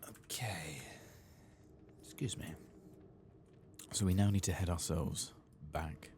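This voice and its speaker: A man giving soft-spoken, whispered ASMR commentary over a game playthrough, murmuring casually as if to himself. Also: low-pitched, slow, noisy background. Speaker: gaming ASMR host.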